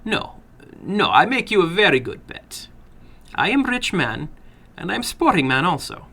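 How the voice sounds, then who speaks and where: A man voicing a funny little foreign gambler in a dramatic story, soft and toneless, slowly coaxing in courteous broken English with quiet menace. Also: high voice, big pitch swings, gravelly, voice pushed up, heavily acted. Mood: coaxing, sly.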